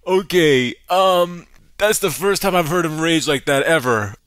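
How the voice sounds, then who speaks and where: A man's voice: on the high side, expressive, unhurried, fairly bright tone, no echo. A man hosting a prank call channel, commenting casually between calls in an amused, incredulous way. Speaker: prank caller, channel host.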